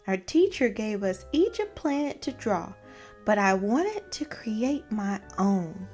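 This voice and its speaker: A woman's voice: mid-range voice, sing-song, slow, darkish tone. A woman voicing an anxious, earnest young boy zebra in a storybook, speaking expressively. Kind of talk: storybook character voice. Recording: music behind the voice.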